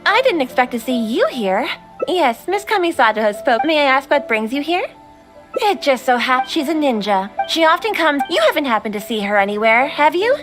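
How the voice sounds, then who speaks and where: A woman's voice: high-pitched, very animated, normal speed, fairly bright tone, soft background music. A woman playing a dutiful, perceptive young shrine maiden in an anime video game, speaking formally and politely. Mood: polite, inquiring.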